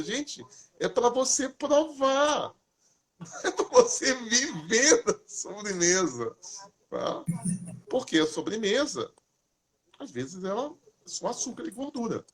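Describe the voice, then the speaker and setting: A man hosting a casual live talk, chatty and lively, asking questions and answering them himself. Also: on the high side, husky. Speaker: host. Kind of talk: casual live talk giving diet tips.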